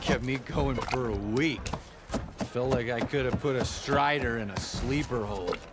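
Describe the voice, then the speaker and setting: An actor playing a friendly, casual wilderness traveller in video game dialogue. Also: male voice, medium pitch, measured pace, very noisy, natural voice. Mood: friendly, appreciative. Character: friendly, casual male wilderness-going customer.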